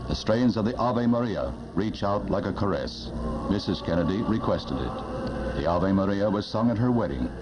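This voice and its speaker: An American man narrating a solemn 1960s newsreel in a grave, reverent, measured oratorical manner, on a vintage film soundtrack. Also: fairly low pitch, expressive, rough recording. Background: loud music.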